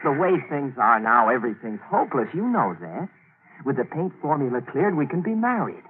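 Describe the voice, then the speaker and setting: A man's voice: mid-range voice, big pitch swings, moderate pace, lightly acted. An actor playing a scheming, persuasive young chemist's assistant, brooding through his story in 1940s radio drama style. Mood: urgent, persuasive. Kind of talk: 1940s radio acting with inner narration. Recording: muffled, noisy 1940s radio recording.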